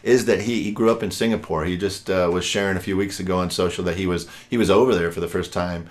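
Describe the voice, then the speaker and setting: This is a man in his forties with a low-pitched voice, hosting a podcast interview. He talks in a chatty, rambling way and keeps dropping in fillers as he works his way toward his questions. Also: fairly even intonation, fast talker. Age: 40s. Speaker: podcast host.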